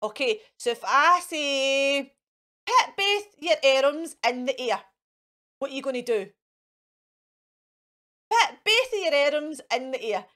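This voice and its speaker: High, animated Scottish woman hosting for children. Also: slow pace, long pauses. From Scotland. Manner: exaggerated, sing-song, coaxing with questions and encouragement. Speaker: children's storytime host in character as a fairy. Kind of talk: playful children's presenting to camera. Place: indoors in front of a fairy backdrop.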